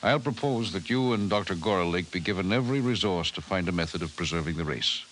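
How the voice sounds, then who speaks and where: An actor playing an authoritative president in 1950s radio drama style, formal and deliberate. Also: male voice, low-pitched, expressive, a bit bright, poor audio. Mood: resolute, somber. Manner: formal, deliberate, weighty delivery. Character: authoritative, decisive male president of a nation. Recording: hissy 1950s radio recording.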